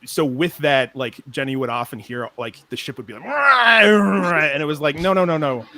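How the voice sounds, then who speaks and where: A man, the show's composer, explaining his work in an animated, chatty way with frequent restarts. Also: fairly high pitch, moderate pace. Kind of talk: casual chat.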